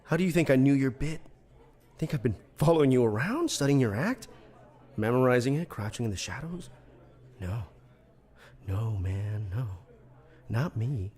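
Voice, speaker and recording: An actor playing a paranoid, haunted ventriloquist in an audio drama, husky, agitated and confiding. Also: male voice, mid-range voice, expressive, moderate pace, pauses often, darkish tone. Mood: defensive. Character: paranoid, haunted male ventriloquist ruled by his dummy.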